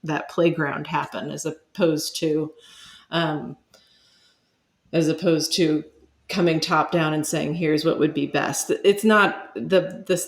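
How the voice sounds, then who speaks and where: A woman's voice: sits low, fairly even intonation, slow. A woman speaking as an expert guest in a conversational interview, explaining thoughtfully and building her points step by step.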